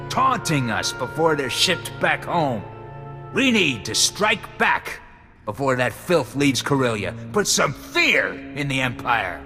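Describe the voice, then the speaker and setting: An actor playing a hard-bitten, vengeful army colonel in a video game, with blunt, forceful phrasing. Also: male voice, mid-range voice. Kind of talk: video game cutscene dialogue. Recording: reverberant hall with music.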